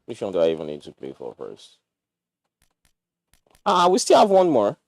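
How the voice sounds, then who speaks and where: Lively Nigerian man streaming a game. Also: lowish voice, normal speed, creaky, no room sound. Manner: animated, jokey, mixes pidgin slang. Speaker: gaming streamer. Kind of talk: casual livestream commentary.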